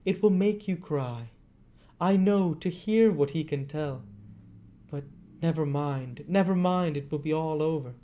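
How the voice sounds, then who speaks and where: An excitable young orphan boy from a classic storybook, acted out by a man. The voice is pushed high and stays soft-edged, with gushing, tearful affection and strong emphasis. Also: measured pace, close to an everyday voice. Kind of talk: storybook character reading.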